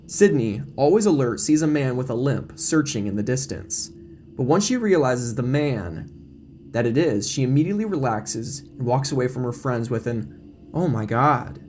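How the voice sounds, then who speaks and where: A man's voice: medium pitch, very animated, normal speed, warm tone, faint music behind. A man narrating a scripted audio drama in a dramatic, descriptive storytelling manner.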